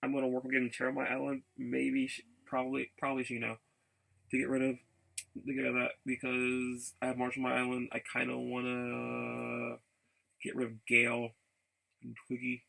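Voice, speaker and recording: Gravelly, bright-voiced man rambling casually. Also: medium pitch, completely flat delivery, rough recording. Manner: halting, repeats phrases. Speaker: video blogger. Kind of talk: casual rambling vlog update.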